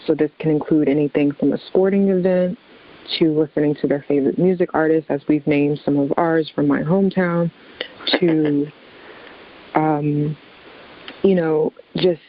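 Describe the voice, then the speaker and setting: An American woman, a young adult, answering interview questions in a conversational, measured and explanatory manner as a guest. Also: low-pitched, fairly even intonation, phone-quality sound. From southeastern Virginia, USA.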